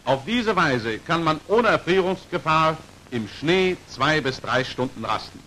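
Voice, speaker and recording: A male narrator with a fairly high, animated voice delivers scripted 1940s instructional film commentary. His is formal and precisely articulated, with pitch that moves widely from phrase to phrase. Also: measured pace, slight background noise, low-quality recording. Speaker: narrator of a military training film.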